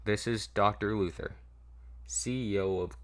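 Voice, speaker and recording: A man playing a manipulative mad doctor with a coaxing, drawn-out, falsely soothing manner in an audio story. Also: on the low side, steady tone, close to an everyday voice. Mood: clinical.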